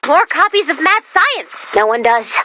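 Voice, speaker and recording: Bright, high-pitched perky robot helper. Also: female voice, big pitch swings, measured pace, dry recording, exaggerated voice. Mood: dry, quippy. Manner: peppy, quippy, theatrical, swinging into dry asides. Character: perky, quirky, chatty robot helper guiding a commander. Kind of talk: animated video game voice lines.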